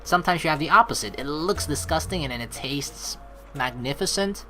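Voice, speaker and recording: Female let's play host, low voice, lively intonation, chatty reading and reacting over game music. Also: a little fast. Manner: playful, chatty, reacts to the story. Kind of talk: game playthrough, reading narration aloud with casual commentary.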